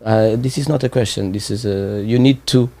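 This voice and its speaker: Portuguese guy in his 20s, english not his first language, lots of uhs, low mellow voice, dry sound. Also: no echo. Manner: halting, searching for words with many fillers. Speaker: training participant. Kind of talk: casual conversation.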